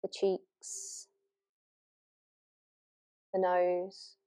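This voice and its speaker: A woman yoga nidra teacher leading a guided relaxation meditation gently and without hurry, leaving long pauses. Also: fairly low pitch, monotone, clean tone. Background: new-age music.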